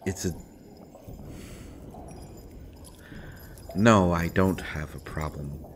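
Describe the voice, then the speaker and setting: A man playing a sardonic imprisoned assassin in a roleplay audio drama, speaking deliberately with wry mock courtesy and pointed pauses. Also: deep voice, slight background noise, lightly acted. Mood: calm, philosophical. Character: sardonic imprisoned male assassin, principled, coolly amused. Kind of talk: scripted roleplay audio drama, addressed to listener.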